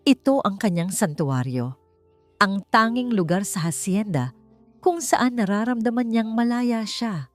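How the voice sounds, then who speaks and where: Female Filipino narrator, slightly low register, deliberate dramatic delivery for scripted romance fiction, faint music bed. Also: darkish tone. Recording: music behind the voice. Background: background music.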